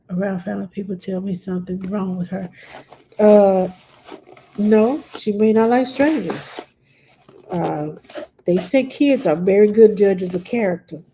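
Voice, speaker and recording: A woman's voice: medium pitch, dark tone, slight background noise. A middle-aged woman with a stuffed-up nose, chatting plainly and bluntly as a nanny answering viewer questions on a live stream.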